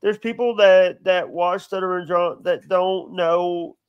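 High-voiced man with a stutter. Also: fairly even intonation, slow pace, smooth, dry recording, muffled recording. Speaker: podcast host. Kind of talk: casual podcast chat.

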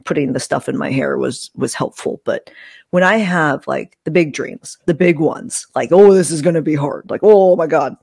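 A woman's voice: low voice, moderate pace, creaky, darkish tone, slightly rough, no echo. A woman around her thirties or forties chatting casually as an interview host, blunt and emphatic, into a close microphone. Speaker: podcast host. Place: small indoor room, video call. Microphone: close desk microphone.

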